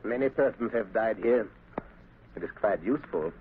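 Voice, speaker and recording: A man plays a sinister doctor in a 1930s radio play, low in voice and mockingly courteous. He speaks deliberately, through a narrow, tinny recording with some hiss. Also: expressive, lightly acted. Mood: cool menace. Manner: deliberate, mockingly polite, hesitant "uh". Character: sinister, coolly menacing male doctor, sabotage mastermind.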